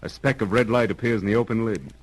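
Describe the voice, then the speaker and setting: A man narrating a 1930s radio serial from a script, with formal, dramatic, deliberate phrasing. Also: on the low side, a little hiss or hum, poor audio. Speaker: announcer and narrator. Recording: vintage radio recording with music behind. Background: music.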